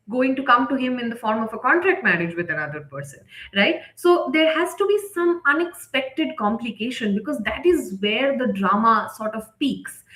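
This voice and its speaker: A woman's voice: on the high side, a bit bright. A woman presenting an instructional live session, explaining step by step in a conversational way and often checking in with short tag questions. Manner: conversational, often tags sentences with "right?" or "okay?". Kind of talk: instructional webinar talk, explanatory. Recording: echoey, roomy sound.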